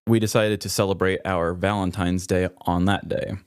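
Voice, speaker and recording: A man's voice: low voice, little pitch movement, moderate pace, clean tone, no room sound, studio quality. A man co-hosting a comedy podcast, telling casual self-deprecating stories and acting out bits of dialogue. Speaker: podcast co-host.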